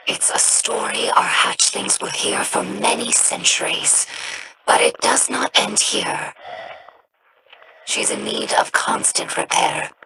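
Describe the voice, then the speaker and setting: A woman voicing a young female alien scribe in a game, earnest and devout, speaking in a measured, reverent way. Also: lowish voice, vocal fry. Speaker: game character. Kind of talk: game voice lines. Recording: noisy game audio rip.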